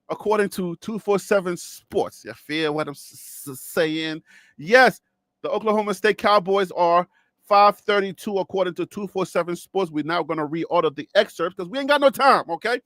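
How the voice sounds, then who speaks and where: High-voiced joking man with a dry sound. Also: moderate pace, fairly bright tone, no echo. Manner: rambling, joking, laughs often, talks to viewers. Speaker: sports show host. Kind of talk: casual solo sports talk with jokes.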